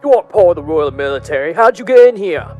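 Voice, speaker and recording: An actor playing a suspicious castle guard in a fantasy audio drama, gruff and challenging. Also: male voice, fairly high pitch, lively intonation, quick pace, a little mellow, soft background music, lightly acted.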